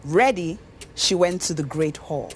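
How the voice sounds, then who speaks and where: A woman with a Nigerian accent narrating a scripted folktale in an expressive, dramatic storyteller's manner. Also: sits low, unhurried. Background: music.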